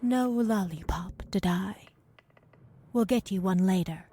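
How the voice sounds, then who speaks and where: A woman playing a weary, confused and blunt character in an audio drama, halting and breathy. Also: medium pitch, warm tone. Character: confused, weary woman, blunt wine-drinking mother figure. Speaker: actor.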